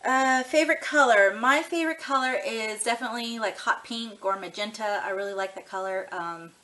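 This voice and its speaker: A woman's voice: on the high side, brisk, bright, forceful tone. A woman in her thirties chatting casually to camera for a tag video, rambling and frank with lots of ums and you-knows. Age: 30s. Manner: easygoing and frank. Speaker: vlogger doing a tag video.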